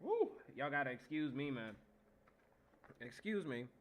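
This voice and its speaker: A man's voice: medium pitch, big pitch swings, a little slow, a little hiss or hum. A man with a stuffy nose from allergies, chatting loosely and playfully with his livestream viewers as host. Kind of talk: casual chat with stream viewers.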